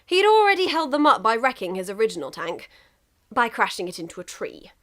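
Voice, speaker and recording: Female storyteller for comic fan fiction, fairly high voice, highly animated intonation. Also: a little fast. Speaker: amateur fan fiction reader. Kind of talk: expressive comic story narration.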